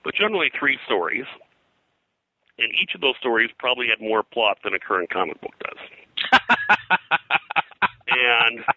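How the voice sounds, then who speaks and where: An older man talking in a rambling interview style, halting and digressive as a guest historian. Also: mid-range voice, big pitch swings, sharp tone, no echo, low-quality recording. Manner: halting, digressive, frequent "you know". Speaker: guest, pop culture historian.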